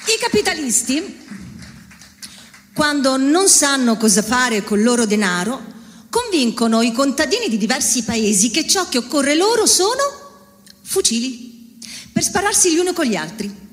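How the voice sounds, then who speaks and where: High, animated woman reading dramatically in a hall. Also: moderate pace, pauses often. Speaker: theatre performer reading a political text. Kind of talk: dramatic theatrical reading of literary prose. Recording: reverberant hall.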